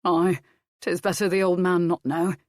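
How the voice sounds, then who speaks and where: A woman reading an audiobook, voicing a steady young nobleman who speaks in hushed, grave tones. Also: normal speed, dry recording, studio quality, lightly acted. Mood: sober. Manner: low, hushed swearing. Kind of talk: audiobook character voice.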